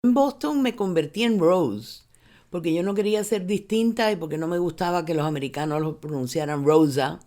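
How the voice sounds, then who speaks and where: A woman's voice: fairly low pitch, moderate pace. An older woman from Cuba, telling her life story in an interview, often restarting words and slipping in English phrases. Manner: animated when retelling, many restarts, mixes in English phrases. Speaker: interviewee, university professor. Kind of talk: reflective personal storytelling.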